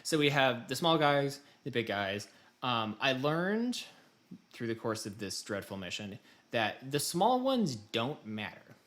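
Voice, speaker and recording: A man chats as a podcast host, fired up and exasperated about games. His mid-pitched voice swings widely up and down as he tells his story in a casual, conversational way. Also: sing-song, measured pace, a bit bright. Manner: fired up, exasperated, mimics game prompts.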